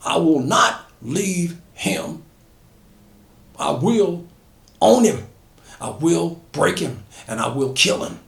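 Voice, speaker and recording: A man's voice: fairly high pitch, sing-song, takes it slowly, creaky, pauses often, echoey room, voice pushed up, full character voice. A man doing a hateful slave catcher. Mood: vicious.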